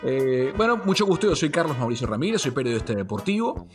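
A Venezuelan sports journalist hosting a podcast in a casual, joking way, full of fillers. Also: male voice, medium pitch, big pitch swings, quick pace, music in the background. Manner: jokey, chatty, many fillers. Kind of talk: casual chat.

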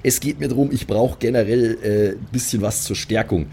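A man's voice: mid-range voice, moderate pace, gravelly, warm tone, slight background noise. A man improvising casually as a player in a tabletop role-playing game, hesitant and resigned in manner. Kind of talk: casual improvised in-character play.

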